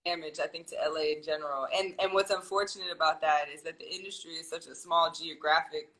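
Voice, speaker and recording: Thoughtful bright-voiced woman guest. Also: low voice, fairly even intonation, fast, rough recording. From California. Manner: thoughtful, rambling, many ums and restarts. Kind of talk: casual interview chat.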